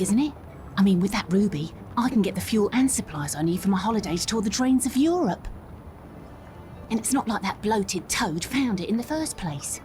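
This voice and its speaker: A woman's voice: medium pitch, a little mellow, a little hiss or hum, natural voice. A woman voicing a brash, plucky young English boat captain in a cartoon game, feisty and self-assured. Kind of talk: scripted cartoon game acting.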